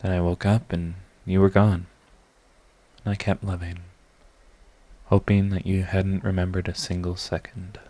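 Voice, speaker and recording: A man's voice: sits low, little pitch movement, a little slow, long pauses, mellow. A man playing a proud villain who is secretly devoted to his rival, in an intimate, emotional audio roleplay. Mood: somber, confessing. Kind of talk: dramatic ASMR audio roleplay.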